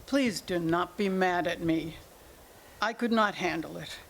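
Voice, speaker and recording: A woman's voice: on the low side, measured pace, vocal fry, slight background noise. A woman in a staged play reading, playing a middle-aged mother of grown children who is defensive, evasive and pleading. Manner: pleading, self-justifying.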